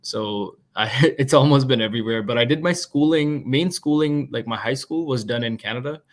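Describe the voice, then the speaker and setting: A man's voice: medium pitch, moderate pace. Brief: young man in his twenties, guest on a podcast, laid-back and chatty, mid-range voice. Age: 20s. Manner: relaxed, chatty storytelling. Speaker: guest, product manager. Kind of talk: casual interview talk.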